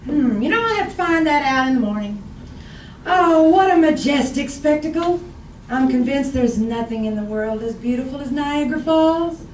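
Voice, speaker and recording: High-pitched nagging wife, echoey and distant. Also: female voice, expressive, moderate pace. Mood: dreamy. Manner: needling, wheedling, plaintive. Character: nagging, romantic, chatty wife who won't let husband sleep. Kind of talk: old-time radio comedy sketch acting. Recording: echoey live room, distant mic, faint noise.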